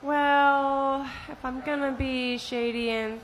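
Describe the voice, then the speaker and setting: A woman's voice: high-pitched, fairly even intonation, slow pace, a little hiss or hum, low-quality recording. Joking young woman on a podcast. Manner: bawdy, joking, hesitant. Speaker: podcast panelist. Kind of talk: casual podcast chat.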